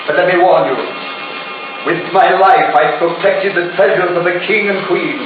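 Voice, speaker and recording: A man's voice: high voice, fairly even intonation, natural voice. Man playing cavernous, echoing ghostly sea captain. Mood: grave warning. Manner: solemn, theatrical, deliberate. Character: ghostly sea captain, grave and fiercely dutiful. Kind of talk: old radio horror acting. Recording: dull, cavernous echo, distant, music behind.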